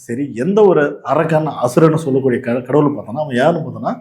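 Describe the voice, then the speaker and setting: A man's voice: medium pitch, fast, darkish tone. A man with a Tamil Nadu accent giving casual, opinionated political commentary as a host, explaining in an argumentative way.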